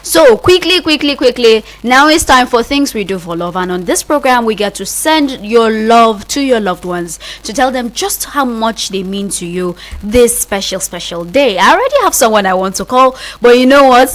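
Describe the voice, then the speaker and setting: A woman with a Nigerian accent presenting live radio in a chatty, informal way, repeating herself for emphasis as she explains things step by step. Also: on the high side. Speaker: radio host. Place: radio studio.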